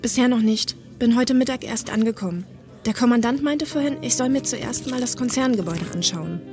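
A woman's voice: fairly high pitch, brisk, over music, natural voice. A woman playing a polite, direct newcomer to a police unit in a German audio drama.

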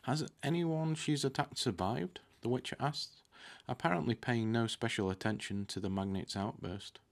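A man's voice: mid-range voice, steady tone, normal speed, vocal fry. A man in his thirties reading a fantasy novel aloud, now and then stumbling on a word and correcting himself. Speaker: book reader. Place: indoor room with curtains, at a desk. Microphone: desktop microphone close in front.

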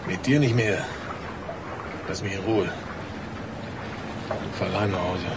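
An actor playing a bitter, insistent elevator fitter in a German radio crime drama. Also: male voice, low-pitched, takes it slowly. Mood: bitter, threatening. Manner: confrontational, insistent. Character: bitter, accusing male elevator fitter fearing for his job. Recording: noisy ambience behind the voice.

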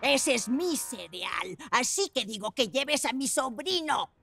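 A woman's voice: sits high, expressive, measured pace, vocal fry, fairly bright tone, faint music behind, full character voice. A woman voicing a grumpy, bossy old lady in a Spanish cartoon dub. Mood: stern. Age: older. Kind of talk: Spanish-dubbed cartoon acting.

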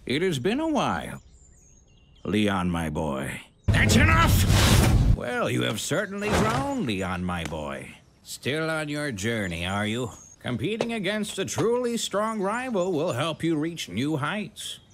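Jolly old sensei in a cartoon, up and down, drawn out, noisy clip. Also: male voice, wildly swooping pitch, loud music behind the voice, heavily acted. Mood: jovial. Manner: swings from booming to slow. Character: cheerful, wily old martial-arts master.

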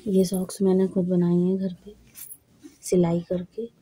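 A woman vlogger who is hoarse from a cold, talking slowly and plainly to camera while trying on socks at home. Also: on the low side, dark tone. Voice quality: husky. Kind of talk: casual vlog talk to camera. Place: indoors at home, camera on the floor.

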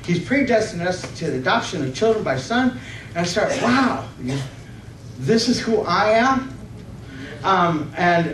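A middle-aged man preaching and reading scripture in a halting, slightly nervous way, often correcting himself and asking for amens. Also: sits high. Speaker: preacher at a church conference. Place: church hall stage, indoors. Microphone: stand microphone, picked up by far camera. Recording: roomy, distant microphone with faint noise.

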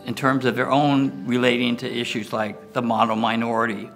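An older man with an American accent, speaking haltingly in a documentary interview. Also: mid-range voice, flat delivery, gravelly, music in the background. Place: indoor room, seated filmed interview.

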